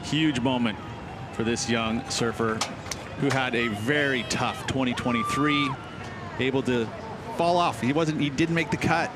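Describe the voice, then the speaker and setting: A man chats through live surf commentary in an easygoing way, with a mid-range voice. Heavy crowd and outdoor noise sit loud behind him. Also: medium pitch, a little slow. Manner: casual, chatty analysis. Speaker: color commentator.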